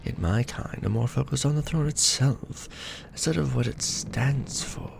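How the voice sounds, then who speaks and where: A man voicing a weary, tender aristocratic vampire lord in an ASMR romance roleplay, murmuring softly and formally, close to the microphone. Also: medium pitch, measured pace, noisy background. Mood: weary, idealistic. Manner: soft, breathy murmuring, formal archaic phrasing. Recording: background music bed.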